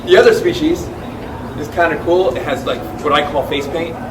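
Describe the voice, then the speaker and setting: A wildlife tracking panelist telling stories in a chatty, animated way at a live question-and-answer event, full of "like" and restarts. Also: male voice, fairly high pitch, brisk. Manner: chatty, lots of "like" and self-restarts. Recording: echoey room, distant mic, noisy.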